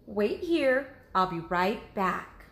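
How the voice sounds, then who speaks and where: A woman's voice: mid-range voice, big pitch swings, slow, a bit bright, echoey room, exaggerated voice. A woman voicing a tired, patient mother bear who speaks in a weary, soothing way.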